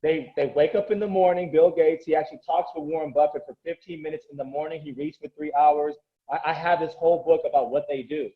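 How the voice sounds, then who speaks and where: A man's voice: a little high, fairly even intonation, fast talker, narrow, tinny audio. A man who coaches and grew up speaking Korean, talking earnestly and motivationally in a casual interview, often restarting his sentences.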